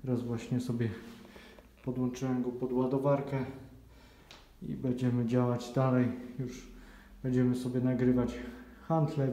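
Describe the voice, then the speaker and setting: A young man talks through his workout in a relaxed, even voice, his tone soft and mellow. He is recorded on a phone in a small indoor gym, and the hard room gives the sound a clear echo. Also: medium pitch. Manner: relaxed, explains as he trains. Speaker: vlogger. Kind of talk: casual gym vlog. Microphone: phone camera on a stand.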